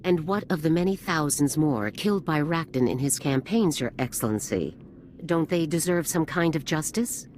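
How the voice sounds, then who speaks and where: Stern, low-voiced female general. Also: expressive, moderate pace, a little mellow, slight background noise. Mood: indignant. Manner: formal, grave military delivery. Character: stern, hard-nosed female military general in a space opera. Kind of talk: video game cutscene voice acting.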